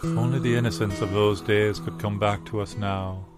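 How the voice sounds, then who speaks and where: A man delivering a wistful, deadpan comic monologue in a musing way with long pauses. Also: lowish voice. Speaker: improv comedy performer. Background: acoustic or plucked guitar music.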